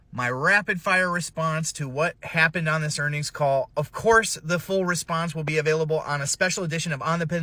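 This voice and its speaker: A man's voice: fairly high pitch, pushed and bright, no room sound. A man hosting a podcast, giving an emphatic, informal news recap straight to viewers. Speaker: podcast host.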